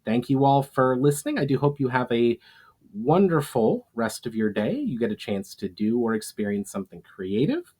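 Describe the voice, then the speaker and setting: A man's voice: mid-range voice, big pitch swings, normal speed, warm tone. A man speaking as an author and host of his own storytime readings. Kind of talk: dramatic audiobook-style reading, casual sign-off.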